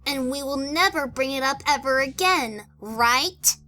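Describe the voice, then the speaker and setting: Very high, bright cartoon filly. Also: female voice, measured pace, heavily acted. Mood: cold, curt. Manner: exclamatory, expressive. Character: bright, sassy young girl pony in a cartoon. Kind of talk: fan fiction audio drama acting.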